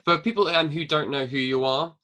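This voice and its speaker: A man from northern England hosting a podcast, chatty and teasing his guest with quick jokes. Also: on the high side, bright, forceful tone. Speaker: podcast host. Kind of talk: casual interview chat.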